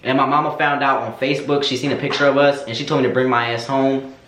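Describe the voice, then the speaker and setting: A man's voice: medium pitch, level tone, fast talker, fairly bright tone. A young man chatting candidly to camera, sassy and slangy, answering viewer questions. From United States. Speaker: vlogger. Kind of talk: casual Q&A chat to camera. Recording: echoey room.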